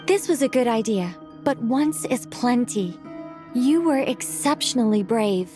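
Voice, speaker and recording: An actress playing a protective, encouraging companion in a video game, speaking briskly. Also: female voice, a little high, lively intonation, music in the background. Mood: brisk, approving.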